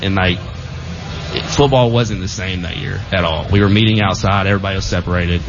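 Young guy on a radio show rambling thru a story, lowish voice, kinda dull lo-fi sound w noise behind. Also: a little fast. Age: young adult. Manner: relaxed, rambling, filler-heavy storytelling. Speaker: football player guest. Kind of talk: casual radio interview storytelling. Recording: dull lo-fi audio with clear background noise.

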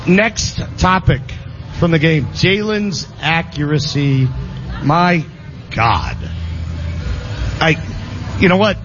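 Emphatic Philly sports radio host. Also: male voice, on the high side, sing-song, slow, pauses often, a bit bright, dull audio. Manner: emphatic, repeats phrases for stress. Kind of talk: live sports radio banter. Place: live venue broadcast.

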